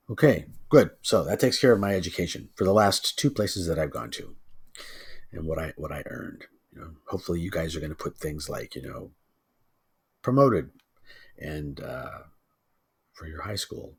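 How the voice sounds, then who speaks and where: A man giving a casual, informal tutorial as a teacher, thinking aloud and muttering asides to himself in a husky voice. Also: deep voice. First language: English.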